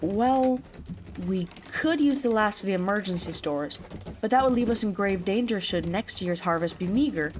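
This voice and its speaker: A woman's voice: mid-range voice, slight background noise, natural voice. An actress playing an earnest, dutiful and impatient younger village woman in an audio drama, with slightly formal phrasing.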